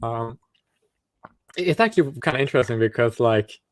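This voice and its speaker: A man from South Africa hosting a livestream, asking a stranger questions in halting, restart-heavy casual talk over a video call. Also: medium pitch, lively intonation, no room sound. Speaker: livestream host chatting with strangers.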